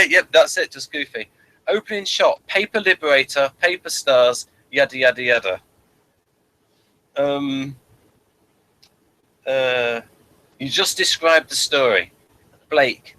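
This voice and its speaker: A man's voice: medium pitch, a little slow, pauses often, sharp tone, low-quality recording. A man hosting a casual review chat, rambling and repeating phrases as he thinks, sometimes reading from notes. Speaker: review show host.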